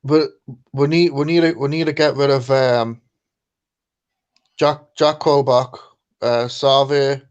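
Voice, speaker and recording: Slow, hesitant man, muffled audio. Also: medium pitch, steady tone, clear, resonant tone. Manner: hesitant, halting, many fillers and restarts. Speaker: guest on stream. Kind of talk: casual chat.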